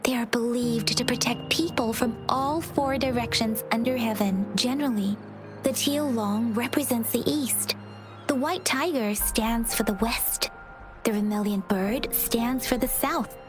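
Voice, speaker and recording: Woman doing a soft studious game girl, high pitched, polite and measured, music playing behind. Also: natural voice. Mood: informative. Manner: measured, soft-spoken, explanatory. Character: gentle, studious, polite young woman scholar. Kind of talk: English video game voice acting.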